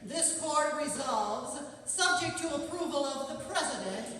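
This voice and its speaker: A woman's voice: sits high, expressive, a little slow, a bit bright. A woman playing a stern military court officer in a radio drama, formal and declamatory. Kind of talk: stage radio drama acting. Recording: echoey hall from far off, noisy.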